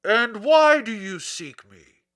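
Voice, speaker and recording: A man voicing a terrible, gruff beast in a storybook reading, growling out slow, commanding words. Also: sits high, lively intonation, pitched above the performer's own voice, full character voice. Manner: slow, loud, growling delivery. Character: gruff terrible beast, a wizard's fearsome shape.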